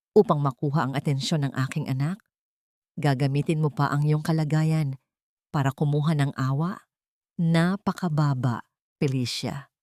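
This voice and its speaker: A woman's voice: low-pitched, a little mellow, dry recording, clean studio sound, close to an everyday voice. A woman voicing a haughty, elderly rich matriarch in an audio drama, speaking firmly with cold, commanding contempt. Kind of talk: audio drama villain dialogue.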